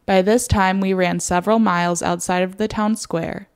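A woman's voice: mid-range voice, steady tone, moderate pace, clear, resonant tone. A young American woman reading a scripted personal story as its narrator.

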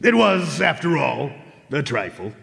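A man's voice: fairly high pitch, very animated, a little slow, vocal fry, a bit bright, exaggerated voice. A man playing a shrewd, teasing dog detective with a knowing, hard-boiled manner in a live radio drama. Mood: softer, friendly. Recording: echoey concert hall.

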